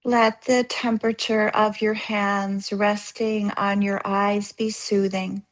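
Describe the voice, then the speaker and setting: Female yoga therapist for guided relaxation: mid-range voice, very slow delivery, soothing and gently instructive, leaving space between phrases. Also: medium pitch, flat delivery. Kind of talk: guided yoga nidra meditation.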